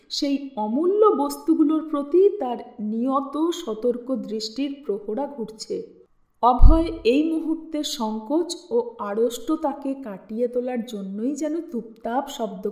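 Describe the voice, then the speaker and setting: High-pitched woman storyteller, slow and roomy. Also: darkish tone. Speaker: storyteller reading literary fiction. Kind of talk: dramatic audiobook narration of a short story. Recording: roomy, echoing voice recording.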